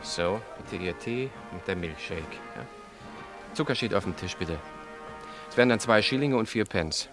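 A man's voice: on the low side, animated, normal speed, music in the background, lightly acted. A man playing a dry-humoured snack bar owner in a German radio drama, wry and matter-of-fact. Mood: wry, businesslike.

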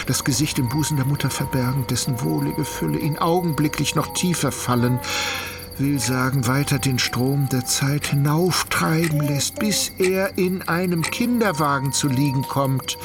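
A man narrating a literary radio play in a measured, dramatic way. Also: mid-range voice.

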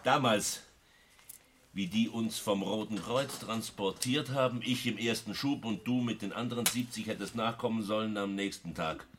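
Actor as bright-voiced, effusive Austrian man. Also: lowish voice, moderate pace, slight background noise, natural voice. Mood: warm, eager. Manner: effusive, repeats himself, chuckles. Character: stout, jovial, excitable Austrian brother-in-law and war veteran. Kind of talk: German radio play acting.

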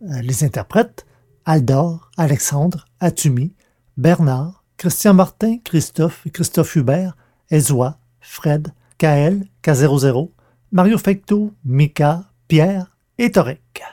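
Mellow-voiced man reading narration and podcast credits, calm and plain. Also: on the high side, takes it slowly. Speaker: narrator and producer of an audio drama podcast.

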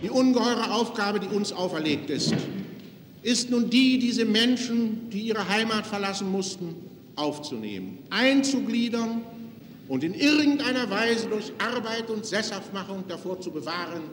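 A pompous political orator. The high-pitched voice declaims solemnly with drawn-out emphasis, echoing through a hall with long reverb. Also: male voice, animated, noisy background. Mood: solemn, declamatory.

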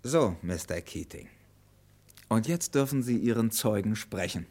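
An actor playing a brisk, commanding police inspector in a German radio crime play, curt and sardonic. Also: male voice, lowish voice, lively intonation, a little mellow.

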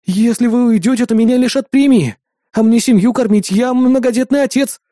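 Cocky sci-fi vigilante, played by a male narrator: high voice, flippant wisecracks, sardonic, dry sound. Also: expressive, normal speed, dark tone, no echo. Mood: mock pleading.

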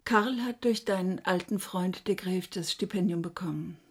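An actress playing a dutiful middle-aged wife and mother in a radio drama, her voice husky and breathy. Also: on the low side, normal speed. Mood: subdued, dutiful. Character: dutiful, struggling wife and mother reporting family news.

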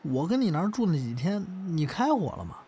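A man's voice: fairly high pitch, big pitch swings, brisk, mellow, slight background noise. A man playing a chatty, needling acquaintance in an audio drama.

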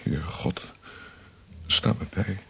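A man's voice: slow pace, in a whisper, close to an everyday voice. A man acting a nervous, inexperienced youth in a radio drama, hesitant and stammering. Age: young adult. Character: nervous, inexperienced seventeen-year-old youth, tempted and torn.

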